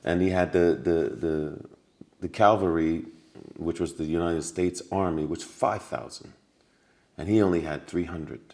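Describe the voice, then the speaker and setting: A man's voice: low-pitched, fairly even intonation, measured pace, a little mellow. A man talking as a podcast guest, telling stories earnestly with many restarts and repetitions. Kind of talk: casual conversation.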